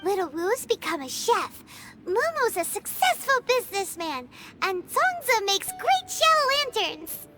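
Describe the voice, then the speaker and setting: Brief: tiny chatty fairy sidekick, very high, swooping voice, game score behind. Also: female voice, very animated, unhurried, heavy background noise, heavily acted. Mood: cheerful. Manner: bouncy, indignant retorts. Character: tiny floating female fairy companion, blunt and chatty. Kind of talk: video game voice acting. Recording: music playing under the voice.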